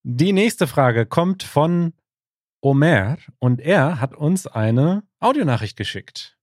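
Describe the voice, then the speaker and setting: A man's voice: a little high, lively intonation, slow pace, no room sound, studio quality. A man chatting casually as the co-host of a podcast. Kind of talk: casual conversational podcast chat.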